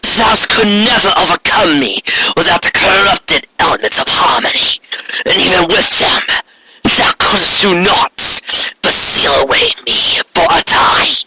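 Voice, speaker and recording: A man's voice: sits high, animated, measured pace, very noisy, pitched above the performer's own voice. A man voicing an imperious, self-righteous elder female alicorn queen in a fantasy story, speaking in grandiose, haughty archaic phrases.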